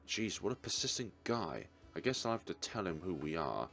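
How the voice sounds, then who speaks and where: A man narrates a visual novel aloud in a low voice. His reading is deliberate and theatrical, with plenty of rise and fall. Soft music sits behind him. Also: big pitch swings. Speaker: let's player reading aloud.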